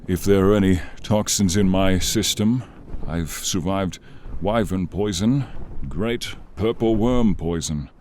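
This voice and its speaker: A man voicing a weary ancient dragon, noble and gentle, with solemn, formal speech in a dramatic fantasy ASMR roleplay. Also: a little hiss or hum. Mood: weary, reassuring. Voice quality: deep voice. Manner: slow, solemn, formal, archaic phrasing. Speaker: voice actor and narrator.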